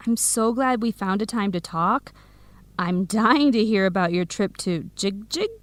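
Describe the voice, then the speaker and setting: An actress playing a teasing, protective young American woman in a radio drama, wry and clearly enunciated. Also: medium pitch, unhurried, dry recording, natural voice. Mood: excited. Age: young adult. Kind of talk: scripted radio drama dialogue.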